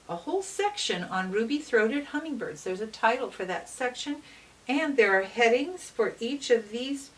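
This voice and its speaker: A woman teacher giving an educational read-aloud for children, explaining as she goes with deliberate, instructive phrasing and emphasis on key words. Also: mid-range voice. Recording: distant mic with faint hiss.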